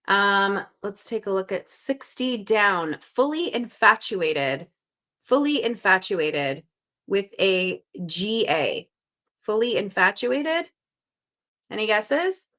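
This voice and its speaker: Bright-voiced, chatty Canadian woman host. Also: medium pitch. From Canada (Montreal). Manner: upbeat, chatty, thinks out loud. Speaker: host of a live crossword stream. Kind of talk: casual live-stream chat, reading viewer guesses aloud.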